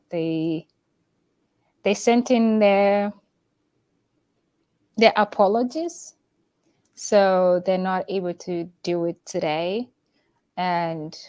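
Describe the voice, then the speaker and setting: A woman's voice: lowish voice, a little mellow, dry recording. A woman from Uganda hosting a casual livestream, speaking hesitantly with many fillers and restarts. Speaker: livestream host.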